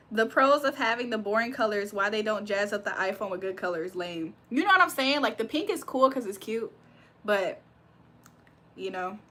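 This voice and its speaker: Bright-voiced young woman reading her chat. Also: mid-range voice, moderate pace, long pauses. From Virginia. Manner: laughs often, reads comments aloud then reacts. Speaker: livestream host reading chat. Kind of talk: casual live chat.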